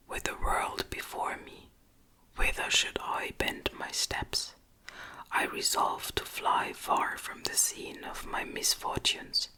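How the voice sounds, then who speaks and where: Whispering woman, slow gothic audiobook reading. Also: low-quality recording. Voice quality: breathy, unvoiced whisper. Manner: gentle, measured, literary storytelling. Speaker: audiobook narrator.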